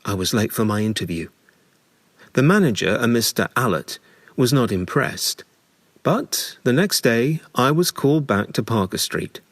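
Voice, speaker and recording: A man narrating a scripted memoir in a measured, dramatic storytelling manner with deliberate pauses. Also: mid-range voice, animated, dry recording.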